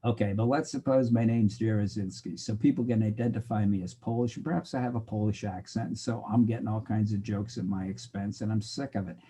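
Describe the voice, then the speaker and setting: A man's voice: fairly low pitch, steady tone, brisk, hardly any pauses, dark tone, slight background noise. An older American man giving a recorded sociology lecture, talking in a loose, conversational way with digressions, and acting out the exchanges he quotes. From United States. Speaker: sociology instructor.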